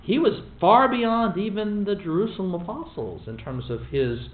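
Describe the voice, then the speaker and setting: A man teaching a Bible study in a lively, emphatic way with wry asides. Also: high-pitched, normal speed, slight background noise. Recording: echoey room over a tinny phone-like line.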